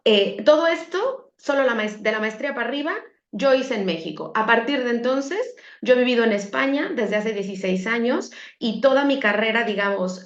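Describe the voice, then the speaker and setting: A middle-aged woman with a Mexican accent, an academic giving a conversational, reflective presentation. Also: medium pitch. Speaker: academic, conference speaker. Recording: echoey room, muffled dull audio.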